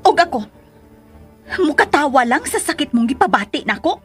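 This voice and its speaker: A woman's voice: sits high, very animated, moderate pace, fairly bright tone, soft background music. A woman playing a jealous, sarcastic lover who taunts emphatically in a Filipino radio drama. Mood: jealous, hurt.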